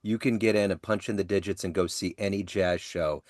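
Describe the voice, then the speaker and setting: Male podcast host, lowish voice, dry close sound, enthusiastic and affirming. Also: fairly even intonation, a little fast, a little mellow, no echo. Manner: effusive, frequent yeah affirmations. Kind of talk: casual interview questions. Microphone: video call.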